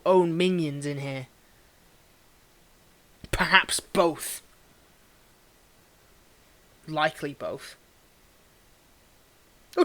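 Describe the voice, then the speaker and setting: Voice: medium pitch, a bit bright, lightly acted. Cold, mocking tsundere villain played by an actor. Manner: condescending, taunting, theatrical, with drawn-out sneers. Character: cold, mocking tsundere villain, grudgingly tending a wounded hero. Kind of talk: dramatic roleplay monologue spoken to the listener.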